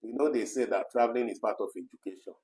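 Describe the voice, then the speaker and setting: A man's voice: medium pitch, fast, a little mellow. A man co-hosting a casual live discussion, chatty and full of "you know" fillers, reading out viewer comments. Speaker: co-host of an online talk program.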